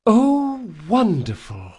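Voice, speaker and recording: A man voicing a composed woman envoy in an audiobook, coolly amused, formal and measured. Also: very high-pitched, wildly swooping pitch, a little mellow, slightly rough, pitched above the performer's own voice, heavily acted. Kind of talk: audiobook character acting.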